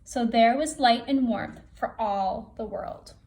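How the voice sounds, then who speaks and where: Higher-voiced woman reading a storybook aloud. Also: takes it slowly, a little mellow. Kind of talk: storybook read-aloud for children.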